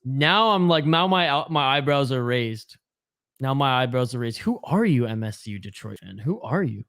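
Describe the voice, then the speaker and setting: A man's voice: mid-range voice, expressive, normal speed, fairly bright tone, smooth, no room sound, studio quality. A young Canadian man hosting a live hockey watch-along, chatting casually, reading viewer comments aloud and swearing freely. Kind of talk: casual live-stream chat.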